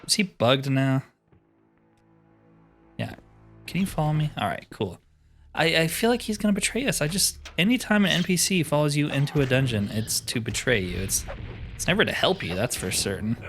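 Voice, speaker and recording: A man's voice: fairly high pitch, animated, normal speed, fairly bright tone. A man talks casually as he plays a fantasy roleplaying game, commenting on things as they come. Music plays behind his voice. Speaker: host of a video game roleplay series.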